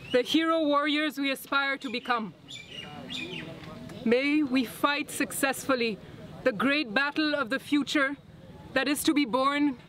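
A woman leading an outdoor group, reading a passage aloud in a measured way and having the crowd repeat it. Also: high voice. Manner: measured, leads a call-and-response reading. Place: outdoors in a forest clearing before a crowd.

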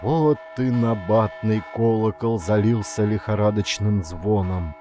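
A man narrating a literary story as an audiobook, with expressive, suspenseful delivery. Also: lowish voice, measured pace, faint music behind.

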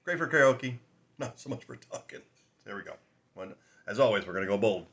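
Chatty raspy man, bright voice. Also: fairly low pitch, animated, a little fast, highs cut off. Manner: rambling, chatty, laughs often, many fillers. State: raspy from a sinus infection. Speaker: whiskey review host. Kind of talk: casual tasting vlog. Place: small room at home, indoors.

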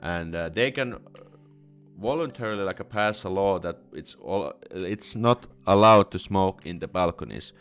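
A young man with a Finnish accent speaks in a low, deep voice into a close studio mic in a small room. His talk is casual and halting, full of restarts and fillers as he works out his answers. Faint music sits under him. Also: expressive, fairly bright tone. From Finland. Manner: halting, many restarts and fillers, thinks aloud. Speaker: host answering viewer questions. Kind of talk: casual live stream Q&A, reading chat comments. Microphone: studio condenser mic with headphones.

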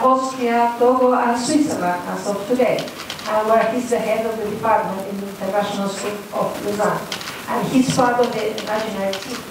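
A woman moderates a conference panel and formally introduces the next speaker. Her voice is fairly high. She is heard from far off in a reverberant hall with steady noise. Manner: formal, reads a list. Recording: echoey hall from far off, noisy.

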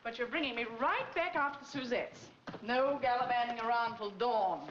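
A woman's voice: on the high side, normal speed, a bit bright, lightly acted. An actress in her thirties playing a spirited, teasing, no-nonsense painter in a 1940s film. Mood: teasing, firm. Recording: roomy, dull, faintly noisy old film soundtrack.

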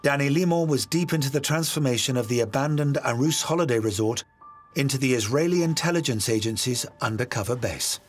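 A man narrating a documentary in a measured, scripted way. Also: medium pitch, soft background music. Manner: measured, even storytelling.

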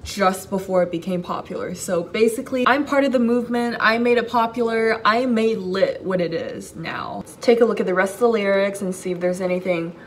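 A woman's voice: medium pitch, a little fast, hardly any pauses, a little hiss or hum. A woman in her late teens with a North American accent, chatting casually as a YouTuber in a self-mocking, theatrical way. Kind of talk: casual vlog chat with dramatic readings. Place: basement room at home, indoors. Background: background music.

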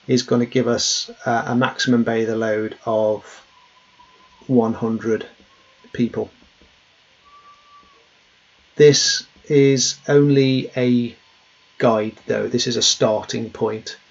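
A man's voice: medium pitch, darkish tone. A man in his thirties, a safety trainer, plainly explaining a worked example with frequent ums and uhs from a small office. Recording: dull, lo-fi webcam audio.

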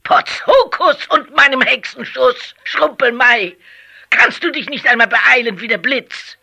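Casting: cackling old witch mother for a children's audio play, very sharp rasp, slow scolding delivery. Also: sits high, full character voice. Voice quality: raspy, cackling. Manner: theatrical scolding, drawn-out exclamations.

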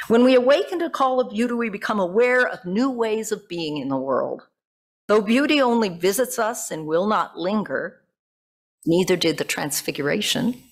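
Older woman pastor giving a sermon. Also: medium pitch. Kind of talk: sermon read from notes with informal asides. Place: church sanctuary at a pulpit. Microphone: gooseneck pulpit microphone.

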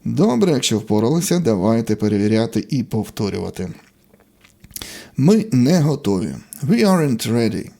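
Guy teaching english, kinda husky and mellow, short phrases one at a time, pitch moves around a lot. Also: very animated, moderate pace. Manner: instructional, short separate sentences. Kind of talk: language lesson, reading example sentences in Ukrainian and English.

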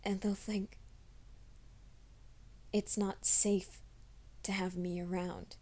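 A woman voicing a troubled young man who hides a werewolf nature, in a soft, hushed ASMR roleplay, often whispering. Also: mid-range voice, little pitch movement, takes it slowly. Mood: anxious whisper. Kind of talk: fan audio drama, ASMR roleplay.

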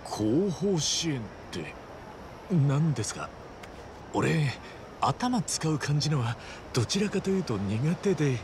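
An actor playing an earnest, excitable, rough-edged gangster hero in a game, with a gravelly voice and boyish, casual delivery. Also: male voice, fairly high pitch, slow pace, background noise, exaggerated voice. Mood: puzzled, eager. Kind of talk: animated Japanese game cutscene acting.

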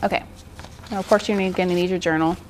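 A woman's voice: on the low side, steady tone, quick pace, noisy background. A woman in her forties giving an informal, encouraging art journaling tutorial in a casual style. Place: indoor art studio, seated at work table.

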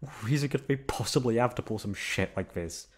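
A young man playing a gruff but affectionate, protective delinquent student in an intimate ASMR roleplay, talking in a casual, foul-mouthed way. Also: medium pitch, fairly quick, lightly acted. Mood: indignant, angry.

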